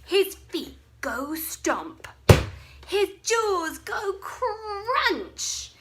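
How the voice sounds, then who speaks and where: A woman voicing a protective dinosaur mother who gives a slow, hushed warning in a storybook. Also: very high voice, very animated, very noisy, voice pushed up, full character voice. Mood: ominous.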